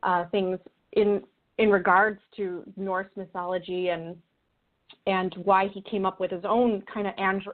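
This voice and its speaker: A woman answering as an interview guest, earnest and conversational, often rephrasing as she goes. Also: mid-range voice. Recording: thin phone-like call audio.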